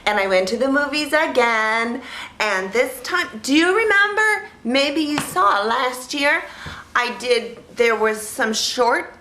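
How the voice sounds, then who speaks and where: A woman vlog host talking casually and ramblingly in a naive, childlike way, halting and repeating phrases as she searches for words. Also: a little high, bright, forceful tone, echoey room. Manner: childlike, naive delivery in simple sentences. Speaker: vlog host reviewing films. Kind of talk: casual rambling talk to camera.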